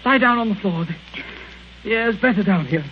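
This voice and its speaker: An actor playing a panicked, trapped doctor in 1940s radio melodrama. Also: male voice, sits high, fairly quick, close to an everyday voice. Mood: desperate, choking. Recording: muffled, hissy 1940 radio recording.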